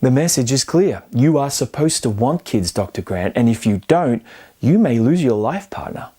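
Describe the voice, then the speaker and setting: Male film-essay host, mid-range and mellow, scripted but colloquial delivery with playful emphasis. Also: medium pitch, animated, moderate pace, husky. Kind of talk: scripted video-essay narration.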